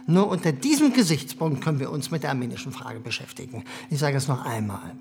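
Cynical privy councillor, condescending, pitch swooping up and down. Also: male voice, animated, fast, a little mellow. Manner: smooth, condescending, hesitant fillers. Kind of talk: radio play acting.

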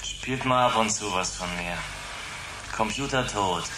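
Noisy recording, man acting burnt-out druggy rocker, low slow drawl, hipster slang, melancholic, radio play. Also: natural voice. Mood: resigned, mellow. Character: washed-up, melancholic, drugged male rock musician. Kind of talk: sci-fi radio drama acting.

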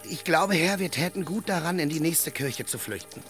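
Creaky, anxious squire. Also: male voice, on the high side, expressive, moderate pace, loud music behind the voice. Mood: worried. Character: anxious, simple, down-to-earth squire. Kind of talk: radio play acting.

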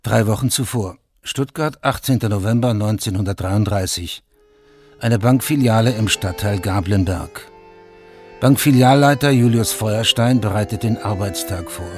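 Male narrator for radio play, lowish voice, factual and sober delivery, clear music bed. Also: moderate pace. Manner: sober, matter-of-fact. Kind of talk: scripted radio drama narration.

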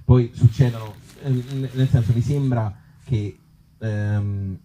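A man with a Tuscan accent talking informally at a live event as an actor and author, in halting, circling phrases with blunt joking asides. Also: mid-range voice, steady tone, mellow, slight background noise, poor audio. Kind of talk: informal live talk with the audience. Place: outdoor evening event in an olive grove.

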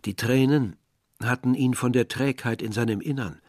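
A man's voice: medium pitch, normal speed, darkish tone, no echo, clean studio sound, close to an everyday voice. An actor as a grave, reflective male narrator. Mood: sorrowful, reflective. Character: reflective male villager recalling war and his brother. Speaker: narrator of a radio drama. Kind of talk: literary scripted narration. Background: music.

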